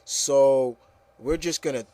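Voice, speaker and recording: A man's voice: mid-range voice, slow pace, darkish tone, no echo. A man hosting a casual opinion talk, ranting emphatically and often repeating himself with okay and you know. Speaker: host. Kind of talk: casual opinion rant with co-hosts.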